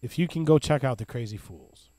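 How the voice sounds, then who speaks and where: A man's voice: medium pitch, brisk, mellow, no echo. A man, co-hosting a casual baseball podcast and talking up local beer and bands with gusto. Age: 30s. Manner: enthusiastic, hype-man plugs. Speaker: podcast co-host. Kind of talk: casual sports podcast chat.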